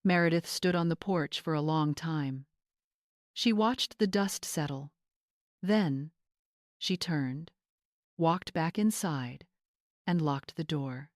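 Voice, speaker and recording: A woman's voice: fairly low pitch, a little mellow, dry recording, studio quality. A woman reading scripted, dramatic story narration as a storyteller.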